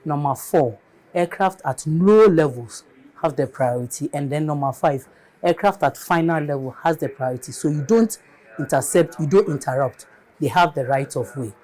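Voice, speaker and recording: Deliberate Ghanaian woman explaining her work. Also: sits low, warm tone, poor audio. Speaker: air traffic controller being interviewed. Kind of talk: explanatory TV interview.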